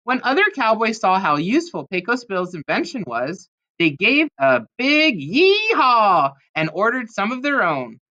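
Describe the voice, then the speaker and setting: A woman's voice: mid-range voice, very animated, measured pace, few pauses, pushed and bright, dull audio. A woman reading a children's storybook aloud expressively, with dramatic emphasis on key words. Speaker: storybook reader.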